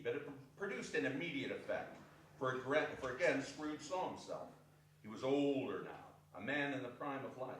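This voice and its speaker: Male stage-reading narrator, forceful bright tone, distant and very echoey, faint noise. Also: mid-range voice. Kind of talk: theatrical scripted narration. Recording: noisy echoey hall from far off.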